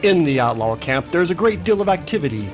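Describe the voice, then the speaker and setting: A man as a dramatic announcer narrating a vintage radio western. His voice is slightly high, and music plays clearly behind him. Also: very animated, a little fast.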